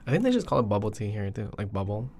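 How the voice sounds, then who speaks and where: A man's voice: lowish voice, sing-song, very fast, darkish tone, slight background noise. A young man hosting a casual podcast, chatting in an informal, slangy, teasing way. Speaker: podcast host.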